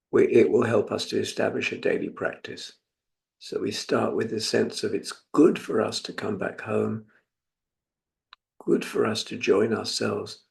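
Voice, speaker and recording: A British man in his seventies from England leading a guided meditation as a teacher, speaking in a reflective, gently instructive manner with pauses. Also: on the low side, gravelly. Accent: British English. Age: 70s.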